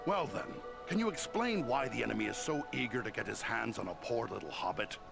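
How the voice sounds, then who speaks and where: A gruff warrior in a fantasy game. The voice is gravelly and blunt, swinging up and down in pitch over loud music. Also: male voice, expressive, brisk. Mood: blunt, incredulous. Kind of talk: dramatic fantasy game acting.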